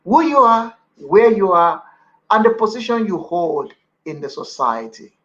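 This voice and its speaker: A man's voice: high voice, sing-song, measured pace, a bit bright, dull audio. A man hosting a live radio show from a studio, greeting listeners in a ceremonious, expansive way. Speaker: radio host.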